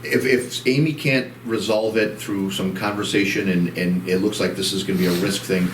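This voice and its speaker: A man's voice: low-pitched, fairly even intonation, normal speed, distant mic, slight background noise. A man co-hosting a casual chat show, rambling with many restarts, his voice soothing. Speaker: co-host. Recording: echoey room, off-mic.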